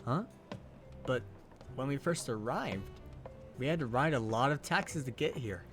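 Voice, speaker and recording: A man voicing a flustered, easily rattled teenage boy who stammers and turns shrill when upset, in a fan-dubbed radio drama. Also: expressive, moderate pace, close to an everyday voice. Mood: puzzled. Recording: soft music behind.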